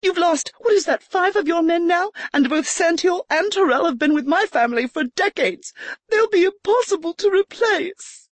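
A woman voicing a young noblewoman who fakes panic but holds her ground, with a high, breathless, pleading delivery. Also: lively intonation, normal speed, no room sound. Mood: frightened.

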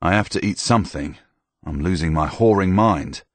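Man voicing a gruff sardonic soldier, deep low voice, blunt, dry sound. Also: moderate pace, no echo.

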